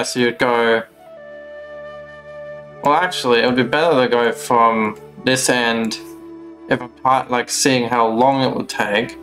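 A young man giving casual, unhurried gameplay commentary, musing to himself as he plays. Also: medium pitch, a bit bright. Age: young adult. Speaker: game streamer. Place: small room indoors at home. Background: game music.

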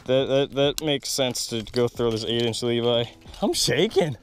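Excited man fishing from a boat. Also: medium pitch. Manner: enthusiastic, chatty, quick bursts of excitement. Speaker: fishing channel host. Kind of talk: excited outdoor fishing vlog. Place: small fishing boat on a windy lake outdoors. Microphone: action camera on the boat.

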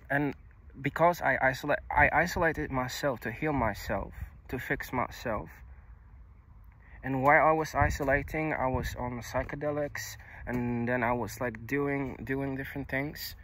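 A young man whose first language is Czech, speaking outdoors into a phone in a halting, confessional way, restarting words and swearing casually. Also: mid-range voice, fairly bright tone, slight background noise, rough recording. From Czech Republic. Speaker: vlogger. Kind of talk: personal confessional monologue. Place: outdoors on a grassy hilltop. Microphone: phone camera held low.

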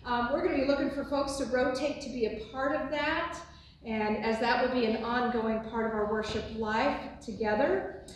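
An older woman pastor with a booming voice giving church announcements and a benediction, recorded by a distant phone in a large sanctuary. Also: moderate pace, slight background noise. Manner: booming, expressive, gesturing widely. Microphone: phone on a tripod from afar. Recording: echoey sanctuary from far off.

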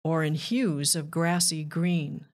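A woman reading a rhyming children's book aloud with measured, deliberate phrasing. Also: low voice, little pitch movement. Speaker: children's author and narrator.

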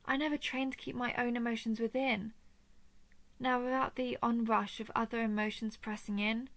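Woman acting a soft, creaky-voiced lovestruck dragon. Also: on the high side, no echo. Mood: remorseful, tender. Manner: soft, hesitant, often dropping into breathy whispers. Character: lovestruck female dragon, possessive yet tender and remorseful. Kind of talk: intimate roleplay audio drama.

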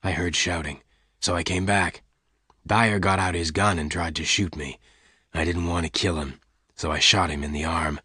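A calm Old West gunfighter, played by a male reader, very low and quiet. He speaks slowly and gently with a hard edge, in a close, dry storybook recording. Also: breathy edge, no room sound, full character voice. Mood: calm, gentle. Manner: calm, slow, gentle but hard-edged. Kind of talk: audiobook character dialogue.

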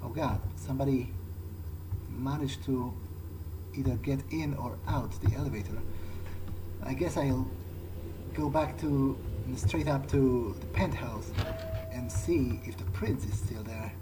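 A man playing a video game and speaking in character, in a halting way that repeats words. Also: medium pitch, noisy background. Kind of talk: in-character roleplay game commentary. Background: game music.

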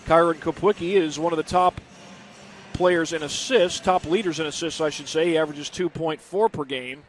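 A young man giving steady pregame basketball commentary as the broadcast announcer, sometimes correcting himself. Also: a little high, normal speed, slight background noise. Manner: steady informative delivery, self-correcting. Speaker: play-by-play announcer.

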